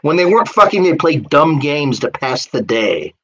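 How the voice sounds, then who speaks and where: A man with a somewhat high voice reads his own fiction live. He narrates as the author, moving between descriptive prose and lines of character dialogue. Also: big pitch swings, normal speed, dull audio. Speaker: author reading own story.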